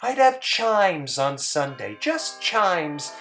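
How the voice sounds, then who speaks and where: A man's voice: a little high, sing-song, takes it slowly, a bit bright, faint music behind, exaggerated voice. A man voicing a simple, cheerful wife in a dramatic story reading, with a folksy, doting drawl. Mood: eager, musing.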